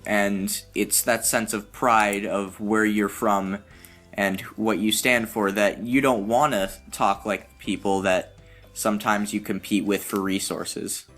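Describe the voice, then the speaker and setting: A man in a casual podcast chat, speaking haltingly with many fillers. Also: fairly low pitch, level tone, a bit bright, faint music behind. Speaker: podcast host.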